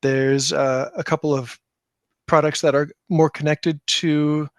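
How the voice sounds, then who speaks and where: A man's voice: a little high, flat delivery, measured pace, smooth, dry recording, studio quality. A man speaking casually as a guest in a podcast interview about his own work, with a self-deprecating manner. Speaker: podcast guest, tabletop game designer.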